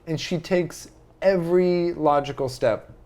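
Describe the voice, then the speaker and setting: A man's voice: fairly high pitch, unhurried, darkish tone. A man hosting a show in a casual, conversational style. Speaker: show host. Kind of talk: casual chat.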